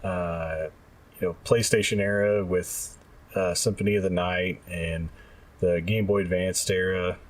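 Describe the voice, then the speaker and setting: A man co-hosting a casual video game podcast, talking in a halting, thinking-aloud way. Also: sits low, fairly even intonation. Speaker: podcast co-host. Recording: slightly distant from the mic.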